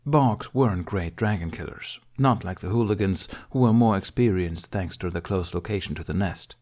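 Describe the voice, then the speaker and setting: Guy with a lowish voice reading an audiobook, telling the story expressively. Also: normal speed, a little mellow. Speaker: audiobook narrator. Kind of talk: expressive scripted storytelling narration.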